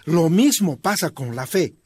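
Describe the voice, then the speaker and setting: A man's voice: on the high side, lively intonation, unhurried, slightly rough, no room sound. A pious father reading scripture. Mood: gentle. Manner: gentle, preaching. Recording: music playing underneath.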